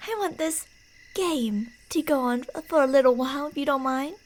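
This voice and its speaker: A woman's voice: high voice, moderate pace. A woman performing ASMR horror roleplay as a creepy, delusional stalker, narrating in a playful, menacing way that slips into whispers. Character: creepy, teasing, delusional female yandere stalker narrating her prey's path.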